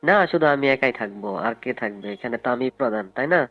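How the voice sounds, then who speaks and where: A man's voice: medium pitch, fast, natural voice. Tinny-phone actor as insistent rich male client. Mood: eager, coaxing. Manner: hesitant, roundabout, persuasive. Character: wealthy male event client, politely insistent, propositioning. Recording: tinny phone line with music behind.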